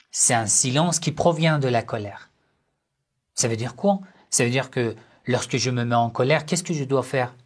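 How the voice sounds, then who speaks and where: A man giving a religious lesson on family life, speaking in short, measured phrases and asking rhetorical questions that he then answers himself. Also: medium pitch, husky. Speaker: religious teacher.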